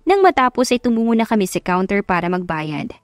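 A woman's voice: medium pitch, big pitch swings, fairly quick, no room sound, soft background music. Woman narrating romance, very dry sound. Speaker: audiobook narrator. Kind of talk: scripted first-person romance narration.

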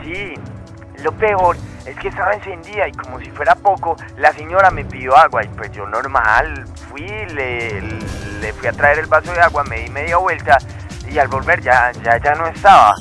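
A rambling young caller played by a man tells a ghost story in a sharp, slightly high voice. He is halting and matter-of-fact, with faint music underneath. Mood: nervous, matter-of-fact. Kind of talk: phone-in storytelling in a radio drama.

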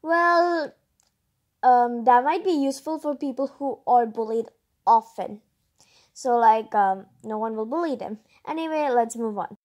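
A female host reading a children's picture book aloud, expressively, with chatty asides and drawn-out emphasis. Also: on the high side, a little mellow.

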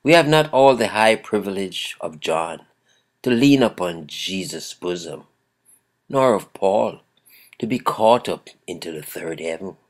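A man with a croaky voice giving a daily devotional, chuckling often and reading scripture aloud with emphasis. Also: low voice, lively intonation, measured pace. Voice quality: gravelly. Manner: chuckles often between phrases. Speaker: devotional host.

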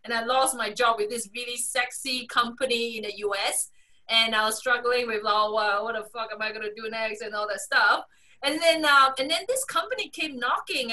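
A woman in her forties, raised in Singapore, telling her career story as a guest on a video call, animated and quick to laugh. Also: recorded from a distance. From Malaysia, raised in Singapore. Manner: animated storyteller, laughs often, lively pitch swings. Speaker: guest, strategy consultant. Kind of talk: casual video-call interview.

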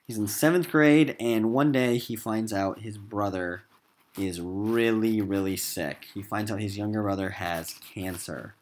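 A man's voice: lowish voice, steady tone, measured pace, a little mellow, a little hiss or hum. A man with an American accent teaching a recorded literature lesson in an emphatic, informal classroom style.

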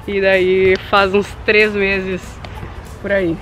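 A woman of about eighteen, chatting casually outdoors in a grassy field and pausing to think as she talks. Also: medium pitch, slight background noise. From Brazil. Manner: relaxed, thinks aloud with pauses. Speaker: vlog co-host. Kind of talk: casual chat. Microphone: camera mic at arm's length.

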